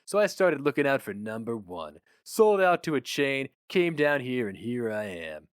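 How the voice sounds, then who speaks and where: A man voicing a brash, cynical, fast-talking male publicity boss, barking and emphatic, in a story reading. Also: medium pitch, big pitch swings, clean tone, dry recording, studio quality, lightly acted. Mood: wry cynical.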